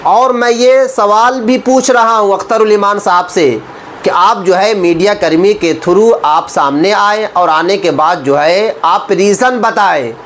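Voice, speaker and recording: A man's voice: high voice, unhurried, fairly bright tone. Male political commentator, emphatic and repetitive, rhetorical questions, some background noise. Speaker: political commentary video creator. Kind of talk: opinionated political news commentary to camera.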